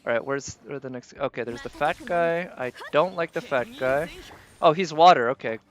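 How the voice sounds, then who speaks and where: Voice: medium pitch, normal speed. Casual game streamer muttering through play. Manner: talking to self while playing, mild swearing. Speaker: game livestreamer. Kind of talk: casual live gameplay commentary.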